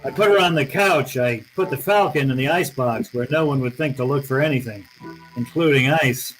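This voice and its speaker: A man's voice: mid-range voice, fairly quick, fairly bright tone, soft background music, close to an everyday voice, poor audio. A man playing a tough, wry private eye in a radio noir drama, terse and slangy, both narrating and in dialogue. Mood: hard-boiled narration. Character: wry, tough, hard-boiled male private detective.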